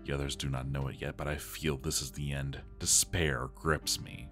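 Brief: male performer as a doomed dwarf armorer, very low voice, grave and theatrical, journal drama over background music. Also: level tone, normal speed, fairly bright tone. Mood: bleak despair.

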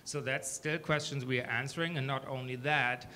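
A man in his thirties with a German accent, pitching his startup on stage with a handheld mic and answering questions in a small event room. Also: moderate pace, a little hiss or hum. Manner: earnest, steady, many "um"s.

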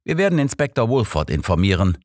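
Man voicing a blunt old detective, pitched up. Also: big pitch swings, fast talker, a little mellow, dry recording, clean studio sound. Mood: matter-of-fact. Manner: blunt, cool, authoritative. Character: old male detective, cool, blunt, exasperated, clipped. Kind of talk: audiobook character dialogue.

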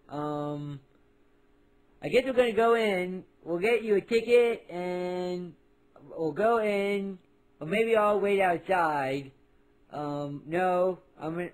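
A man's voice: fairly high pitch, poor audio. A man voicing a good-natured, dim cartoon guy who rambles indecisively into a phone message. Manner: goofy, meandering, indecisive.

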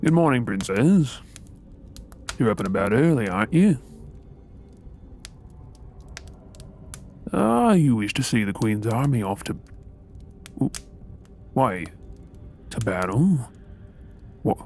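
A man's voice: mid-range voice, very animated, measured pace, long pauses, darkish tone, slight background noise. A man with an Australian accent playing a resentful undercover soldier posing as a royal servant in an audio role-play, brooding in asides and formally deferential in address. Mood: polite, servile. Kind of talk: scripted ASMR audio role-play acting.

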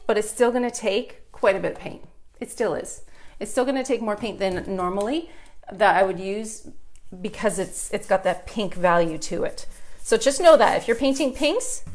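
Chatty Canadian woman painting furniture. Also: fairly high pitch. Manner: chatty, talks while working, asks viewers questions. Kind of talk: live painting tutorial. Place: indoor room at home, painting a dresser.

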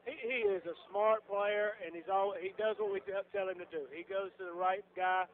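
A man's voice: high voice, steady tone, normal speed. A man from Georgia, a plain-spoken football coach giving a post-game radio interview and often repeating his phrases. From Georgia, USA. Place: locker room. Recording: muffled lo-fi radio broadcast recording.

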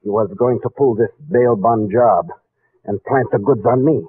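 A man's voice: medium pitch, moderate pace, natural voice. An actor playing an edgy, suspicious gangland tough guy in a 1940s radio drama, blunt and slangy. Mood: tense, explaining. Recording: narrow muffled 1940s radio recording.

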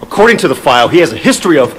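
A man's voice: a little high, big pitch swings, very fast, a bit bright, a little hiss or hum, rough recording. An actor playing a serious, skeptical male police handler in a video game, speaking in an earnest, formal, insistent way. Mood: doubtful. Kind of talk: video game cutscene acting.

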